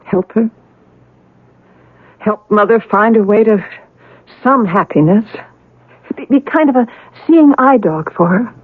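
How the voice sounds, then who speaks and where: A woman's voice: medium pitch, a little slow, long pauses, husky, no room sound, phone-quality sound. An actress playing a troubled, guilt-ridden young woman, bitter and resigned, in a 1970s radio drama. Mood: bitter regret.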